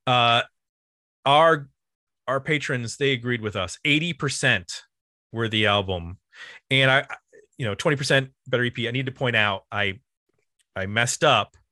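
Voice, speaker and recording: A man's voice: mid-range voice, a little slow, pauses often, pushed and bright, dry recording, studio quality. A man hosting a podcast, talking casually about music in a rambling, enthusiastic way. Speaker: podcast host.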